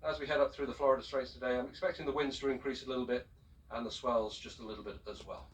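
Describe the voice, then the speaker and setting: A man speaking as a ship's captain, giving a formal, measured and courteous announcement over a public address system. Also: medium pitch, fairly even intonation. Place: aboard a cruise ship, heard over the public address system. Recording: distant shipboard PA speaker, picked up from afar.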